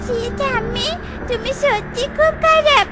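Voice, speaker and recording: A woman's voice: very high-pitched, unhurried, over music. A woman voicing a small, innocent, outspoken granddaughter in a cartoon, with simple, indignant, childlike phrasing. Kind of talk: Bengali children's cartoon voice acting.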